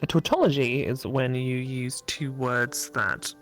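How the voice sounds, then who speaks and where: A man's voice: mid-range voice, a little slow, fairly bright tone. A man playing a game aloud as a streamer, with a cheeky, teasing way of talking that can turn pedantic. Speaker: gaming streamer reading visual novel dialogue. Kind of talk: playful let's play banter and read-aloud. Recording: music behind the voice.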